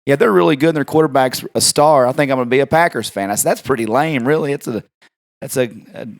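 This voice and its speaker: A man's voice: medium pitch, fast, gravelly, dry recording. Casual male podcast host, dry sound. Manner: conversational, acts out quoted exchanges, frequent fillers.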